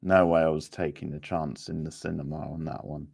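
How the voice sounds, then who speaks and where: A man's voice: a bass voice, fairly even intonation, a little fast, gravelly, a little mellow, husky. A man hosting a live-streamed quiz in an informal, chatty way, reacting to players' answers. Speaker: quiz host.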